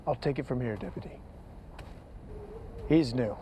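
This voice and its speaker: An actor playing a weary, wry small-town marshal in a sci-fi western, with a laconic folksy drawl. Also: male voice, medium pitch, moderate pace, warm tone, a little hiss or hum, close to an everyday voice. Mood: wry, guarded. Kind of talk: screen sci-fi western drama acting.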